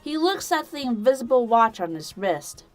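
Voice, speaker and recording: A woman's voice: on the high side, sing-song, normal speed. A woman reading scripted third-person narration for an audio drama. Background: background music.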